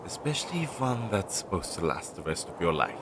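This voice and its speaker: A young man playing an anxious, earnest and loyal crow familiar in a roleplay audio drama, hesitant and chatty as he thinks aloud, on a low-quality amateur recording. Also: big pitch swings, a little fast, background noise. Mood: reassured, earnest. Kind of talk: roleplay audio drama, intimate one-sided dialogue.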